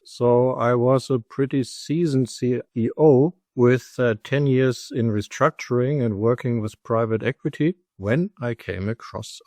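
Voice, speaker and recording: A man's voice: mid-range voice, no room sound. German-accented middle-aged man, dry sound. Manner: measured, storytelling, speaks in full explanatory sentences. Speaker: guest, company executive and lecturer. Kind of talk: conversational podcast interview.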